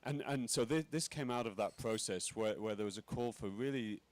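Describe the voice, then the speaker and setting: A man speaking on a conference panel, halting with many fillers and restarts. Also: lowish voice, a little mellow. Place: conference pavilion.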